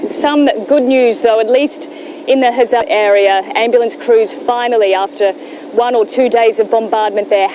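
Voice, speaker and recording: A woman reporting live as a news correspondent. Her voice sits on the high side, and she explains in a measured way. There is clear background noise of vehicles on location. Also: steady tone. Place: on location in Gaza.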